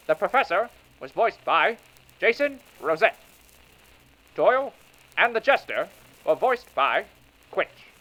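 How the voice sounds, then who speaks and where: Guy reading off the cast list, bright high voice, takes it slow. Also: muffled recording. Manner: plain, steady list reading. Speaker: credits announcer. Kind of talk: reading end credits.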